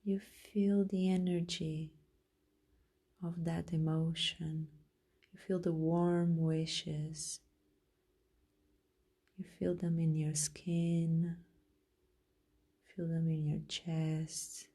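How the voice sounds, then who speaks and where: A woman leading a guided meditation in a gentle, soothing, unhurried way with long pauses, her voice soft and at times breathy. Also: deep voice, flat delivery. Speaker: meditation guide, podcast host.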